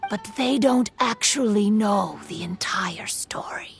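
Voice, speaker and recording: A woman's voice: mid-range voice, lively intonation, gravelly, soft background music. A woman voicing a wise, sorrowful female yokai spirit, telling a tale solemnly in a game cutscene. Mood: grave. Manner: slow, solemn, melancholy telling.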